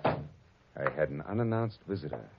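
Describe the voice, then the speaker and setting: An actor playing a tough, wisecracking private detective, delivering noir lines in 1940s radio style. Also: male voice, extremely low pitch, big pitch swings, moderate pace, vocal fry, background noise, heavily acted. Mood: wry narration. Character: hard-boiled, wry male private detective. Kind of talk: 1940s radio acting, first-person noir narration. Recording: dull old radio recording with music.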